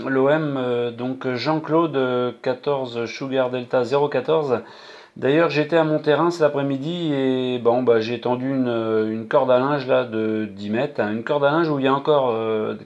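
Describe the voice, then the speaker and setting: A man with a mid-pitched voice talks casually as a radio hobbyist. The sound is muffled and lo-fi, as from a small handheld transceiver. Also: a little mellow. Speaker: amateur radio operator. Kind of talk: casual two-way radio chat. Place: indoors, apartment. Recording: dull lo-fi handheld radio audio.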